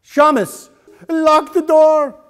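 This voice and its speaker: Man playing a high, impassioned village rabbi. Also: little pitch movement, slow pace. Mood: indignant, commanding. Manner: declamatory, solemn, emphatic. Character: grave, impassioned, pious village rabbi addressing his congregation.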